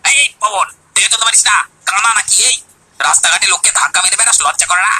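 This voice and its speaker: A man playing a scornful, bullying village man who threatens and scolds in an animated story. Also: sits high, quick pace, a little hiss or hum, exaggerated voice, rough recording. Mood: angry, scornful. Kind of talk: Bengali animated story dialogue.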